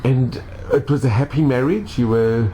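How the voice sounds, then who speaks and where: Mellow-voiced male interviewer. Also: medium pitch, moderate pace, a little hiss or hum, poor audio. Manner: brief, attentive questions and reactions. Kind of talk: interview questions.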